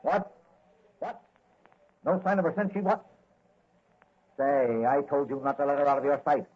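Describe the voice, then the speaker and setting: An actor playing a gruff, worried newspaper editor, urgent and bossy, in 1940s radio style. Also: male voice, fairly high pitch, expressive, normal speed, slight background noise, lightly acted. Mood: angry. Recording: dull old radio recording.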